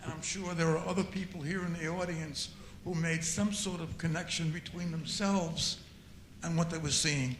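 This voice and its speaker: A man's voice: a little high, fairly even intonation, moderate pace. An older man in the audience of a screening Q&A, giving a reflective comment and often repeating words as he searches for them. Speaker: audience member. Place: auditorium after screening. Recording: echoey hall with faint noise.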